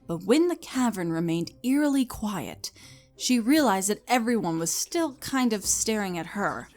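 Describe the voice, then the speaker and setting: A woman's voice: mid-range voice, expressive, a little slow, darkish tone. Woman narrating a story over music.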